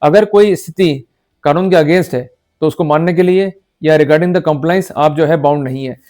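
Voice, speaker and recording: A man with a slightly high-pitched voice delivers an explanatory lecture as a legal educator. He is didactic, stopping to check understanding with short questions. Formal passages are read out slowly and deliberately. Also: dark tone. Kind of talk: explanatory law lecture mixing Hindi and English.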